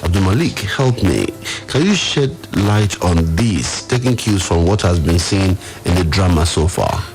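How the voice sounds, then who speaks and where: Male radio moderator, Nigerian accent, deep register, questioning guests over faint music. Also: lively intonation, unhurried, a little mellow, poor audio. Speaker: radio host.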